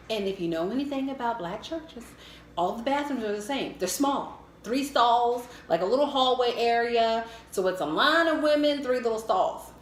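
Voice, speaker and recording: A middle-aged American woman telling a casual, humorous personal story in a candid, animated way. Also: a little high. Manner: animated, candid, acts out her own thoughts. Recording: echoey room with faint noise.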